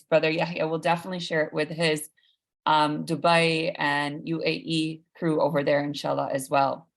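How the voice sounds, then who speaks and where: A woman's voice: fairly low pitch, a bit bright. Woman hosting an online children's event. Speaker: host of an online read-aloud event. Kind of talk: hosting a live video call for children, announcements.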